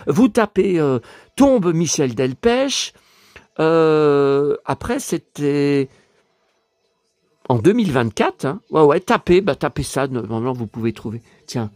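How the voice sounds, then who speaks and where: A hoarse middle-aged man talks in a fairly high voice, rambling from one thought to the next and repeating his words. He stammers and breaks into emotional asides. The sound is dry and close, as if spoken into a phone. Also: big pitch swings, slow pace, darkish tone, dry recording. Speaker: YouTuber. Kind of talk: casual rambling vlog chat.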